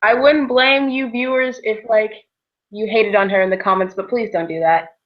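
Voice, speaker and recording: A woman's voice: a little high, fairly even intonation, normal speed, muffled recording. A young woman co-hosting a casual book chat, telling her reactions informally as little quotes. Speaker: book discussion co-host.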